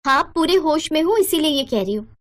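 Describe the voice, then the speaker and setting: A woman's voice: sits high, animated, fast, pitched above the performer's own voice. A woman voicing a jealous, quarrelsome younger sister-in-law in a story, with an indignant, accusing, argumentative manner. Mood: cold, insistent.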